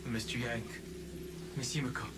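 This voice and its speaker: A man playing an earnest, determined young man in a video game, speaking gravely and resolutely. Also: medium pitch, flat delivery, normal speed, natural voice. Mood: solemn, resolute. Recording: loud background noise.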